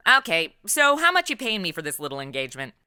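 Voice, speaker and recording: Casting: actress as a blunt, sarcastic young sidekick for a radio spoof, bright, highly expressive. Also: female voice, fast, clear, resonant tone, no room sound, studio quality, heavily acted. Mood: haggling. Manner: snarky, blunt, complaining. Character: sassy, sarcastic modern young woman, reluctant sidekick. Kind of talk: comedy radio play acting.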